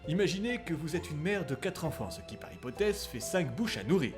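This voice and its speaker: A man's voice: a little high, very animated, fairly quick. A man playing a smug, crude bourgeois husband. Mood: exasperated. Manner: smug, vulgar, flustered when cornered. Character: pompous bourgeois husband, middle-aged, crude. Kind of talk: comedy parody dub. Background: music.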